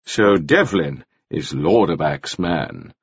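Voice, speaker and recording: A man playing a stern, slow-spoken jailer. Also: extremely low pitch, very animated, creaky, husky, no echo, voice pushed down, heavily acted. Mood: probing. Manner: slow, probing, demanding. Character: stern, calculating male jailer. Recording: dull lo-fi recording.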